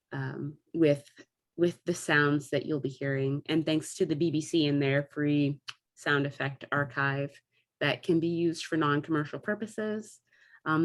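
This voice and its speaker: Woman, lower register, theatre-teacher guest talking casually and with enthusiasm on a panel.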